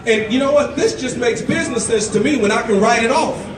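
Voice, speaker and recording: A man with a high voice hypes up a crowd, pitching and introducing guests with salesy energy. He sounds far from the mic in an echoey hall full of background noise. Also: a little fast. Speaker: event host. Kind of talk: hyping up a sales event. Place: event venue with a crowd.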